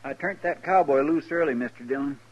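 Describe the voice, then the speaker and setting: An actor playing a folksy, easygoing deputy in a 1950s radio western. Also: male voice, on the high side, fairly quick, close to an everyday voice. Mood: respectful, folksy. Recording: muffled, faintly noisy old radio recording.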